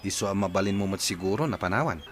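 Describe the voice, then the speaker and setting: A man playing a frank, sympathetic best friend, expressive in an Ilocano radio drama. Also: deep voice, fast, close to an everyday voice. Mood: sympathetic, concerned. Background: music.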